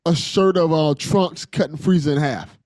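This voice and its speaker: A man's voice: a little high, normal speed, a little mellow, no echo. A man hosting a casual interview, talking in an informal, slangy, buddy-like way. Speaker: podcast host.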